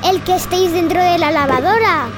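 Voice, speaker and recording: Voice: very high voice, brisk, background noise. A very young-sounding performer playing a curious, protesting little girl in a children's radio play. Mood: indignant, puzzled.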